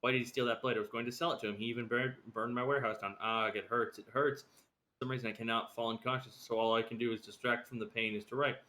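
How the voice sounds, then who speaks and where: A man's voice: mid-range voice, flat delivery, fast talker, pushed and bright. A man streaming a video game, reading its dialogue and letters aloud. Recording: clear background noise on stream mic.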